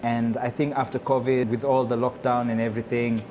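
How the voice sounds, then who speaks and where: A man's voice: medium pitch, little pitch movement, brisk, darkish tone, highs cut off. A man from Nairobi with a Kenyan accent, talking casually and conversationally as a chef being interviewed. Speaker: chef and restaurant owner.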